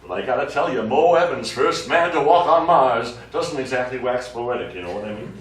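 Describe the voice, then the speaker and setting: An actor playing a supportive, needling astronaut in a stage play, talking in a colloquial, prodding way. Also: male voice, medium pitch, lively intonation, brisk, natural voice. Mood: prodding, teasing. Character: supportive, needling male astronaut crewmate who stays behind. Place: small studio theatre, recorded from afar. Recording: very echoey, noisy, distant stage recording.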